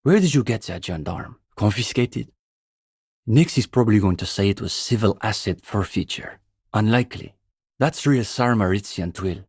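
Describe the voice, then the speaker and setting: An actor playing a pompous, earnest young communist student in a video game, a bookish zealot who talks in precise, lecturing phrases. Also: male voice, fairly low pitch, expressive, normal speed, a little mellow, slightly rough, no room sound, clean studio sound. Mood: wry, casual. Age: young adult. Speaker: student leader of a revolutionary reading group. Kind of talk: video game character dialogue.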